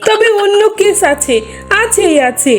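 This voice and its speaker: A woman's voice: extremely high pitch, animated, measured pace, slight background noise. A woman voicing a bossy, shrewd fox wife in a comic cartoon, speaking in an emphatic, questioning and commanding way. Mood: suspicious.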